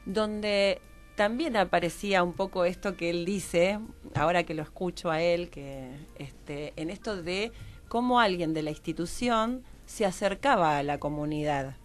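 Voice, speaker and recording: A woman's voice: lowish voice, measured pace, faint music behind. A woman, explaining informally as an interview guest and tentatively rephrasing as she goes. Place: radio studio.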